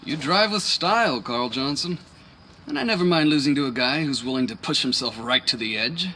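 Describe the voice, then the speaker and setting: A man's voice: mid-range voice, lively intonation, brisk, sharp tone, slight background noise. An actor playing an affable, honorable male street-racing boss in a video game, polite, composed and articulate. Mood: gracious, admiring. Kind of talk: video game cutscene acting.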